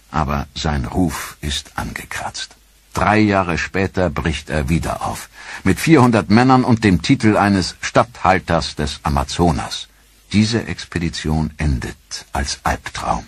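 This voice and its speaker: Deep husky male documentary narrator. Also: no echo.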